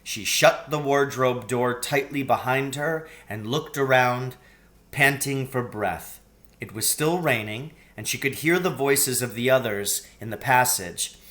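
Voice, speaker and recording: A man's voice: medium pitch, unhurried, a bit bright. A man narrating a children's story from a script, as an audiobook reader.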